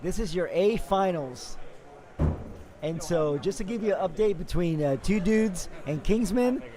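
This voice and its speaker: A man with a raspy voice calling live sports play-by-play, hoarse after days of talking, upbeat and quick with frequent fillers. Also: sits high, expressive. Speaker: play-by-play commentator.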